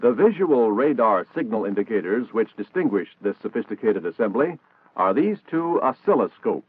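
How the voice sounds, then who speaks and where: A man's voice: lowish voice, very animated, normal speed, husky, dry recording. American man, narrow-band 1960s film narration. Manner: formal, precise, instructional. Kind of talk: scripted 1960s military training film narration.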